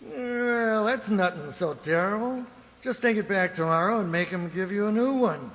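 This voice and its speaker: Man playing a high-voiced, tired husband. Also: normal speed, a bit bright. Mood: dismissive. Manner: colloquial, reluctant grumbling. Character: tired, grumbling, good-natured husband. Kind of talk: radio drama acting. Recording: hissy, reverberant radio play recording.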